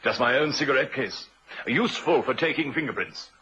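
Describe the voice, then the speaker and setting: An actor playing a shrewd, courteous police inspector in a vintage radio drama, polite and probing. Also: male voice, mid-range voice, animated, a little fast, pushed and bright, slightly rough, full character voice. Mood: sly, suspicious. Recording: muffled vintage radio recording.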